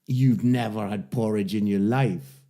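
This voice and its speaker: A man's voice: fairly low pitch, steady tone, a little slow, dark tone. A middle-aged Scottish man co-hosting a podcast, teasing and joking in casual chat. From Scotland. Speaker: podcast co-host.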